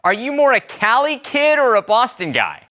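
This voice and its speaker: A man's voice: extremely high pitch, wildly swooping pitch, moderate pace, pushed and bright. A man hosting a sports talk show, interviewing a guest in an upbeat, conversational way.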